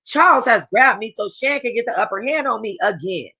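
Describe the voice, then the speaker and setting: A woman reading a first-person novel aloud for a book club, casual and expressive, with a streetwise tone. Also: fairly high pitch, brisk, husky.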